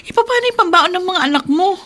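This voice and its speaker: A woman's voice: very high voice, animated, fast talker, sharp tone, full character voice. A woman acting in a radio drama as a troubled wife and mother, hesitant and downcast. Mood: worried, dismayed. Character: troubled wife and mother worried about household money.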